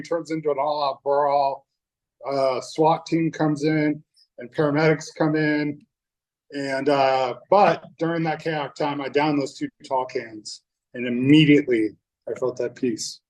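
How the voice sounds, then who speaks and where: A man's voice: mid-range voice, rough recording. Candid young man sharing his recovery story. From North Dakota. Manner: candid, loose, with many fillers and restarts. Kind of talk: personal testimony at a recovery meeting. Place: meeting room.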